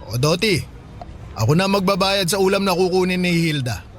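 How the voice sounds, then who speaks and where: A man's voice: high-pitched, lively intonation, moderate pace. An actor playing a lecherous, besotted man in a Filipino radio drama, eager to please, stammering and leering. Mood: generous. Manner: stammering, bashfully lewd, leering. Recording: music behind the voice.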